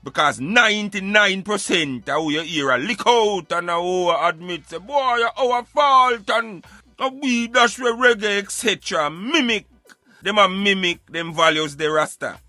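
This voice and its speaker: A man's voice: high voice, unhurried, sharp tone, dry recording, soft background music. A Jamaican man giving emphatic, opinionated social commentary in Patois, like a street philosopher preaching on a radio show.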